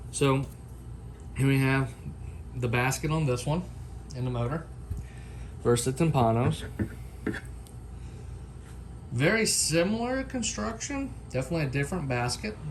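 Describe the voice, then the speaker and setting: A man's voice: medium pitch, a little hiss or hum. A man talking informally and off the cuff, like a product reviewer chatting to viewers in a homemade video. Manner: informal, folksy, unscripted. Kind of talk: casual unboxing and comparison vlog.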